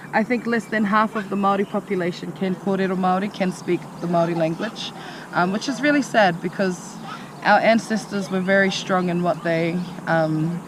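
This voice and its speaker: High-voiced man, earnest, street noise. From Australia. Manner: earnest, hesitant, many ums. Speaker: interviewee. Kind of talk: casual personal account. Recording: outdoor street noise, passing vehicles.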